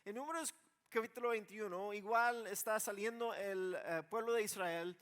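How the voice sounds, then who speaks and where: A male preacher with a notably high voice explains scripture in everyday words. He swings from quiet reflection to insistent emphasis, often repeating a word as he restarts a phrase. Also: normal speed, clear, resonant tone. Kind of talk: expository sermon, explaining scripture in plain conversational words.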